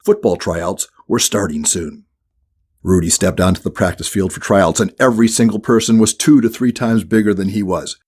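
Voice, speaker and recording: Husky man narrating a children's story. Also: lowish voice, moderate pace, a little mellow. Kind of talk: scripted children's audiobook narration.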